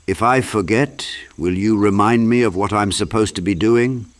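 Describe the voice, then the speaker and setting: A man voicing a confused, forgetful, half-mad knight in an audiobook, halting and earnest. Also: on the low side, close to an everyday voice. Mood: muddled gratitude.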